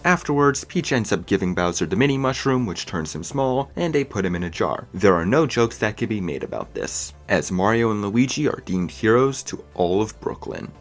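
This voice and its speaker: A man's voice: on the low side, sing-song, normal speed, hardly any pauses. A man giving opinionated film review commentary as a video host, stretching key words for emphasis. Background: music under the voice.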